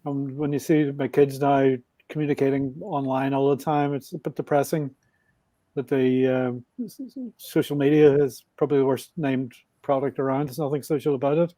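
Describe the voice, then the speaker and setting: A man in his sixties with a Belfast Northern Irish accent, a podcast guest reflecting on things in a measured, thinking-aloud way. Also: mid-range voice, flat delivery, warm tone, dry recording, rough recording. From Northern Ireland. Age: 60s. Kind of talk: casual interview talk.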